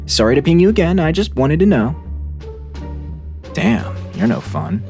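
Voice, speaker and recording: A man's voice: fairly high pitch, big pitch swings, moderate pace, creaky, a little mellow, soft background music. A man voicing an eager, clingy, apologetic online chatter, over-friendly and wheedling, in a dramatized reading of chat messages. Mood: eager, pushy.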